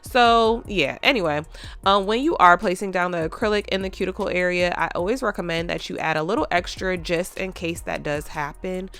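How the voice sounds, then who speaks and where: A woman's voice: on the low side, a little fast, a bit bright. A woman with a stuffy, congested voice, chatting casually to viewers as a nail tech vlogger, with lots of fillers.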